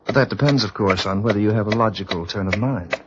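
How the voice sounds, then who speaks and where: A man's voice: on the low side, quick pace, natural voice. A man playing a wry, confiding storyteller, narrating a vintage radio mystery in a suave, theatrical manner. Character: wry, confiding male storyteller of ghost tales. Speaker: host and narrator. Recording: muffled, noisy old radio recording.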